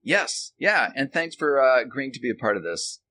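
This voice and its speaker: A man's voice: mid-range voice, very animated, normal speed, sharp tone, slight background noise. A middle-aged man co-hosting a casual chat, speaking hesitantly with dry, self-deprecating humour. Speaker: co-host, author.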